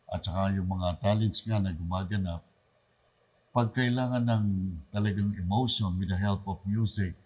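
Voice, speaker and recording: A man's voice: low voice, moderate pace, a little mellow, husky. A mature man with a Filipino accent, a radio drama director explaining his work in an interview.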